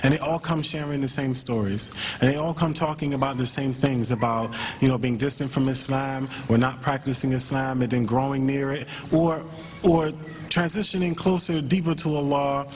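Earnest halting guy on a panel, mid pitch, bit of hiss in a big echoey hall. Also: steady tone. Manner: earnest, halting, repeats words. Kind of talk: personal testimony at a panel. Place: large auditorium stage at a conference. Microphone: table microphone. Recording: hall reverb with faint noise.

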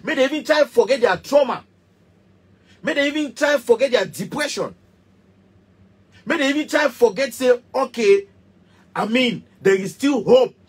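A man's voice: extremely high pitch, expressive, moderate pace, long pauses, breathy edge. A man in his thirties with a Cameroonian Pidgin English accent, a musician speaking passionately and emphatically to camera from a small studio. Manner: passionate, emphatic, repetitive, gesturing. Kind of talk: heated direct-to-camera rant.

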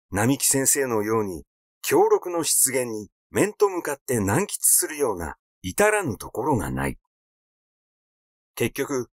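A man's voice: mid-range voice, dry recording, clean studio sound. A man reading a literary story aloud as scripted narration. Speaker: audiobook reader.

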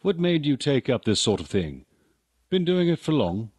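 An actor playing a wry, needling military police major in a radio drama, casually sardonic. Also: male voice, medium pitch, sing-song, moderate pace, a little mellow, breathy edge, no echo, clean studio sound. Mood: breezy, needling.

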